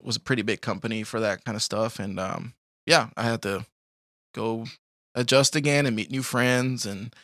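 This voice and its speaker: Young guy from colombia, kinda gravelly but bright, rambles with lots of likes and laughs. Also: lowish voice, normal speed, dry recording, clean studio sound. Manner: rambling, laughs often, many fillers like "like" and "you know". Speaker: podcast guest. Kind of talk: casual life-story interview.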